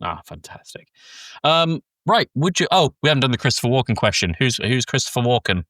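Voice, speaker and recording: A man's voice: medium pitch, very animated, a little fast, a bit bright, no room sound, studio quality. A man hosting a casual film discussion podcast in a loose, rambling way, a bit under the weather with a congested throat. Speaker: podcast host.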